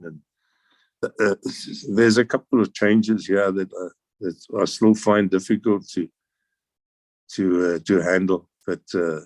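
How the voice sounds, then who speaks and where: A man's voice: fairly low pitch, no room sound. An older South African man, a veteran guest, reminiscing in a rambling way with frequent restarts and chuckles. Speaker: interview guest, air force veteran. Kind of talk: relaxed reminiscing.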